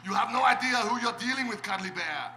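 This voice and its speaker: A man's voice: extremely high pitch, a little fast, echoey room, background noise. A man playing a haughty thunder-god warrior in a comic live radio play. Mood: haughty, amused. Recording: live convention stage, loud music behind.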